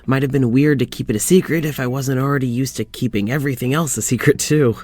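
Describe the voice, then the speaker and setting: An actor playing a lonely, weary doctor in an audio drama, confiding in a halting, sighing way. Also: male voice, medium pitch, a little mellow, no room sound, close to an everyday voice. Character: lonely, weary young doctor venting to his demon dog.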